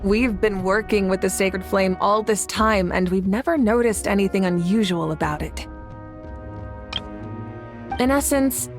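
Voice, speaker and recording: A woman playing a composed, rational and protective leader in a video game, measured and calmly authoritative. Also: mid-range voice, lightly acted. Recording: game music behind the voice.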